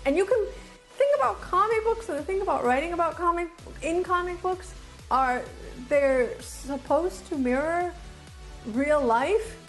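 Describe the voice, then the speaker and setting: A woman reviewing casually and off the cuff as a video host, enthusiastic and emphatic, often repeating phrases to drive them home. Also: high voice. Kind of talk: casual unscripted review to camera.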